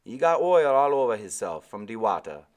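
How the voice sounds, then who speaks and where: Man putting on a gentle old West Indian sailor, lifted pitch. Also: very animated, moderate pace, natural voice. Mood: explaining. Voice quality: rich, soft and musical. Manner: gentle, reassuring, folksy dialect.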